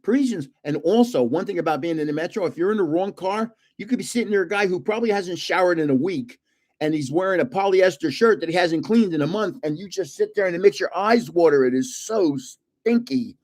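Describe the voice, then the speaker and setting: Older man in his seventies, lively up-and-down delivery, slightly high voice, laughs a lot, rambling chatty host. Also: fairly quick. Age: 70s. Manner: animated, laughs often, rambling anecdotes; self-described booming voice when yelling. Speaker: livestream host opening trading cards. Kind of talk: casual storytelling chat.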